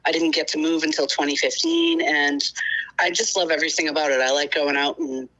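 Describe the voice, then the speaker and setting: A talkative caller in her fifties from Boston, with a low, bright voice. She chats casually and fills her pauses with lots of "um"s, sounding eager to connect. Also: expressive, dull audio. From Boston, United States. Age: 50s. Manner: chatty, many fillers. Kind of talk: casual call-in chat.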